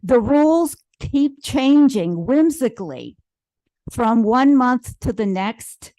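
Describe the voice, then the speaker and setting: A woman testifying as a homeowner before a legislative committee, speaking in a measured, formal, detailed way with frequent hesitations. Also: on the high side, sing-song, warm tone, dry recording, low-quality recording. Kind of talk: public testimony. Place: legislative hearing room.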